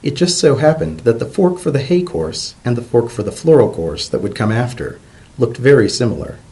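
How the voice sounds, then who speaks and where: A man giving an expressive, measured dramatic reading of a story as its narrator. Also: mid-range voice.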